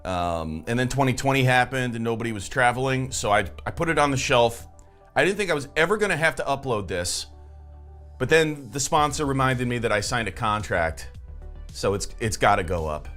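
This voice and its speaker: Man, animated satirical host, ironic fake enthusiasm, mock sincerity, music underneath. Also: medium pitch, fairly quick. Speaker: comedy video host. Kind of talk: satirical sketch presenting.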